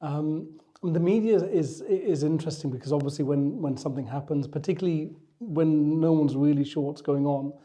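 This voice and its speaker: A man hosting a podcast interview, putting questions in measured, halting phrases. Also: a little high, mellow. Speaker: podcast host interviewing a doctor.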